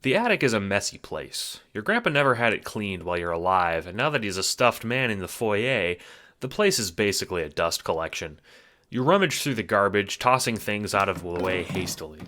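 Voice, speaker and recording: A man with a low, bright, punchy voice narrates a scripted audio drama. He speaks to the listener as "you", wry and sardonic, with a theatrical touch. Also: big pitch swings, fairly quick, a little hiss or hum.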